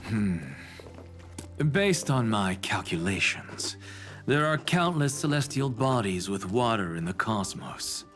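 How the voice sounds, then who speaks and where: A man's voice: on the low side, expressive, slow, fairly bright tone, soft background music. A man voicing a proud, eccentric scholar in an anime-style video game, erudite, self-assured and theatrical. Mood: pensive. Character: eccentric, proud male scholar, irreverent philosophical professor.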